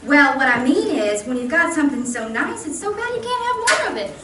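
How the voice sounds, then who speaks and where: Lady playing a naive blunt maid, high voice, old radio comedy, echoey stage. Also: sing-song, a little fast. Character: polite but blunt, naive lady's maid. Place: live stage performance of a radio show. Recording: roomy stage, distant mic, faint noise.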